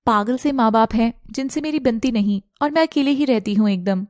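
A woman voicing a confident, coaxing young modern college woman with an Indian accent in an animated story. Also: on the high side, a little fast, no echo, studio quality, close to an everyday voice. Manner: assertive, coaxing, mixes English into Hindi.

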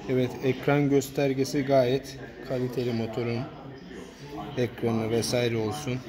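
A man's voice: mid-range voice, completely flat delivery, moderate pace, crowd noise. A man filming a walkaround review in a showroom, pointing out parts in a plain, matter-of-fact way. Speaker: motorcycle reviewer. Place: indoor motorcycle showroom. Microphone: handheld phone camera.

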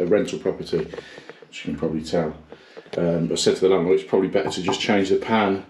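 A man's voice: lowish voice, darkish tone, a little hiss or hum. Stressed plumber vlogging from his van. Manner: frank, swearing, stressed and rambling. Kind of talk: casual vlog with job commentary. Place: parked van, then a small bathroom.

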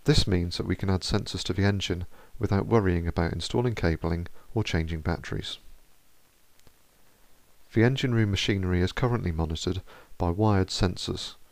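A man with a low voice and a touch of fry narrates in a steady, measured manner, like a researcher explaining his project. The recording is dry and close, with no room sound around him. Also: dry recording. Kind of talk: scripted narration.

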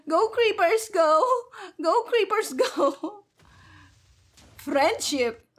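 A woman's voice: very high-pitched, animated, extremely slow. A woman streamer giving casual, playful gameplay commentary in a cheeky, hyper, giggly manner. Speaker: gaming streamer, virtual avatar host.

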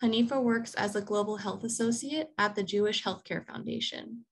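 A woman's voice: mid-range voice, normal speed. Woman, 30s, program coordinator; organized moderator, earphone mic, video call. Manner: clear, organized, with frequent "um".

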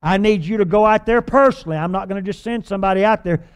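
An older man preaching a sermon, telling a long illustration story on a church stage with lively gestures. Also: high-pitched, fast, clean tone. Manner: animated, gesturing storyteller with filler restarts. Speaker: pastor. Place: large church stage with purple lights.

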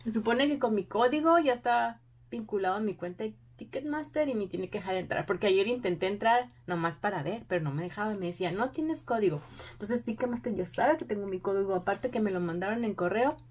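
A young woman talks through her day in a casual, chatty vlog, thinking aloud as she goes. Her excitement runs high and her voice sits at an ordinary middle pitch. She sounds eager and a little keyed up. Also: a little fast, breathy edge. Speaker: vlogger.

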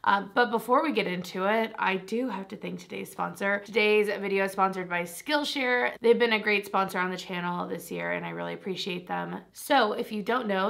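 A young woman with a raspy voice chatting to camera about beauty products in a bubbly, rambling way, laughing often. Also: mid-range voice, a bit bright. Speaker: beauty vlogger. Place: small decorated room indoors.

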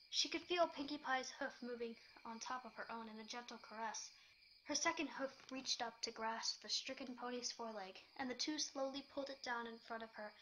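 A woman's voice: fairly high pitch, pushed and bright, slight background noise, low-quality recording. A woman reading fan fiction aloud as dramatic scripted narration.

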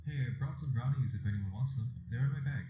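A man's voice: medium pitch, quick pace, natural voice. A man acting the part of an ordinary man in a sci-fi audio drama. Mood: casual. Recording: echoey, noisy playback in a room.

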